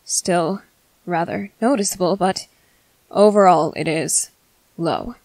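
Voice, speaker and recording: A woman playing a courteous, restrained male vampire commander in an ASMR roleplay, speaking in formal, halting, hungry phrases. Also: on the low side, a little mellow, no room sound. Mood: grave, polite. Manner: formal, halting, measured, apologetic. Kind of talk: scripted ASMR roleplay monologue.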